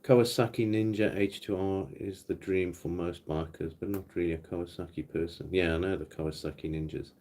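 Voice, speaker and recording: A South African man with a very low voice hosts a relaxed livestream chat from home. He rambles easily between topics and reads out comments from the viewers. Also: a little fast, few pauses. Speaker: livestream host. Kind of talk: casual live Q&A chat. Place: home, indoors.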